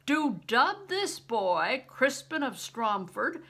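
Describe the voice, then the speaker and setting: A woman's voice: fairly high pitch, very animated, slow, a bit bright, pitched above the performer's own voice, full character voice. An older woman voicing a big, bold, fierce-hearted man from a storybook, bellowing and declamatory. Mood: proud, booming.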